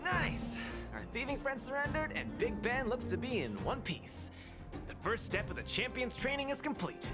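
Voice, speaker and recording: An actor playing a cheerful, cocky young fighter in a video game, exuberant, boastful and playful. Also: male voice, very high-pitched, sing-song, moderate pace, over loud music. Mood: triumphant, showy. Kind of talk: video game character acting.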